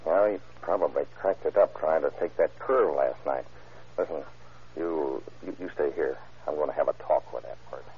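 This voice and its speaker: An actor playing a gruff, wisecracking policeman, hard-boiled and halting, in a 1940s style radio crime drama. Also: rumbling low, slight background noise. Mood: wary, bossy. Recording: tinny, muffled old radio recording.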